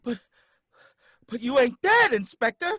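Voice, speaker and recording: An actor playing a nervous, stammering cab driver who turns out to be a ghost, in an old-time radio drama. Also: male voice, extremely high pitch, sing-song, a little slow, bright, forceful tone, breathy edge, no echo, studio quality, heavily acted. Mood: panicky. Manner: folksy, anxious, stammering.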